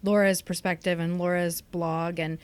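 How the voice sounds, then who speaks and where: A woman's voice: on the low side, level tone, moderate pace, clean tone. A woman hosting a conversational podcast, reflective and exploratory as she puts questions to others. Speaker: podcast host.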